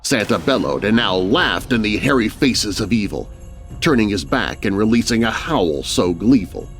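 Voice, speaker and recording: A male narrator tells a spooky children's tale in rhyme. His delivery is theatrical and very animated, with music underneath. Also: on the low side, normal speed. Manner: theatrical, rhyming storyteller delivery. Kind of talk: dramatic children's horror story narration.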